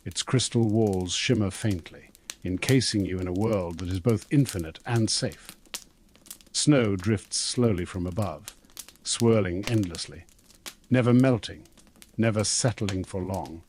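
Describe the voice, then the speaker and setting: A man narrating a scripted guided sleep meditation in a slow, soothing, gentle manner. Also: lowish voice, a little hiss or hum.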